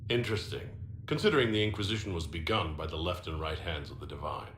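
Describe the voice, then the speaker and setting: An actor playing a composed, inquisitive hero in a video game, speaking plainly and directly. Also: male voice, deep voice, fast, a bit bright. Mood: dry, composed. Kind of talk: video game dialogue acting. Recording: echoey game capture with clear background noise.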